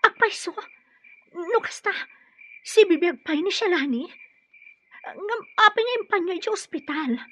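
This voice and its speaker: Concerned filipina wife asking questions, radio drama, high sharp voice. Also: female voice, animated, normal speed, pauses often, full character voice. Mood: worried. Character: concerned, inquisitive wife and loyal friend.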